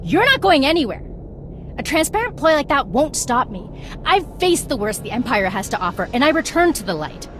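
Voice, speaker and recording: An actress playing a defiant female Jedi who speaks in a bitter, accusing way, with loud game music behind. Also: high-pitched, very animated, a little fast, background noise. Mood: determined. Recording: game soundtrack under voice.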